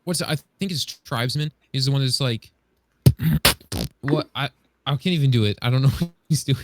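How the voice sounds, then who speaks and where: A man co-hosting a podcast in casual chat, speaking haltingly as he searches for words. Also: mid-range voice, very noisy. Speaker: podcast co-host.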